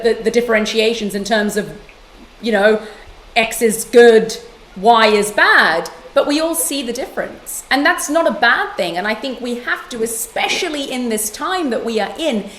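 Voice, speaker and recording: A woman's voice: fairly high pitch, fairly bright tone. Passionate woman on a roomy call. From London, England. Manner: passionate and emphatic, with quick repeated restarts. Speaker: guest author and yoga teacher. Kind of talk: conversational interview.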